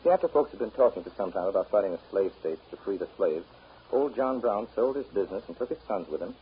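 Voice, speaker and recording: A man's voice: on the low side, lively intonation, quick pace, slightly rough. An actor playing an earnest, wry American man with an American accent, explaining history reflectively in a 1930s radio drama. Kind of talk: 1930s radio drama acting, conversational storytelling. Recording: dull old 1930s radio recording.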